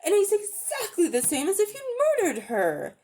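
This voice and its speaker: A woman voicing a brave, hot-tempered schoolboy in a dramatic storybook reading, speaking bluntly and forcefully. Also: high-pitched, huge pitch swings, normal speed, voice pushed up, heavily acted. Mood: outraged. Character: indignant, brave, outspoken English schoolboy.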